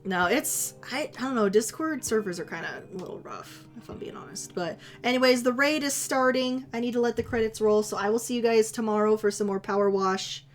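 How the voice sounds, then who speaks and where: A woman's voice: a little high, a little fast, few pauses, faint music behind. A woman streamer chatting casually with her viewers, rambling and giggling, her voice a little worn as if she is losing it. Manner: giggly, rambling, self-teasing.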